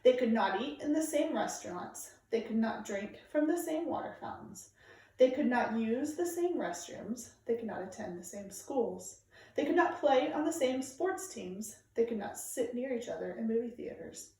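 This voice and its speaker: A woman reading aloud to children like a teacher, with clear, deliberate, emphatic phrasing. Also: a little high, expressive, mellow. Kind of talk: read-aloud storytelling for children, informal introduction. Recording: very echoey room, distant microphone.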